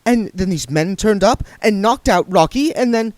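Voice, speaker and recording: A man's voice: high-pitched, big pitch swings, unhurried, no echo, voice pushed up. A flustered young woman who chatters on nervously and rambles, as a male narrator puts her on. The voice is pushed well up from the reader's own and swings about eagerly. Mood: nervous rambling.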